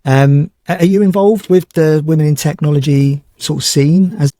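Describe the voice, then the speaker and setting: A man's voice: a little high, fairly even intonation, normal speed, mellow, no echo, clean studio sound. A man hosting a podcast interview, asking questions with hesitant restarts. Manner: hesitant, repeats words as he starts. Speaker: podcast host.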